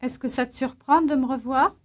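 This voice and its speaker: A woman acting as a language instructor, reading out scripted drill questions in a clear, measured way. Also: high voice, steady tone. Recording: dull lo-fi language tape.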